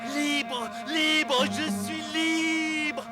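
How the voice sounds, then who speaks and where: An actor voicing a boastful, scheming toad who poses as a washerwoman in an animated film, theatrical and exclamatory, with music underneath. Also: high-pitched, drawn-out words. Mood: gleeful boasting. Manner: exclamatory, self-congratulating, theatrical. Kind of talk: animated film character acting.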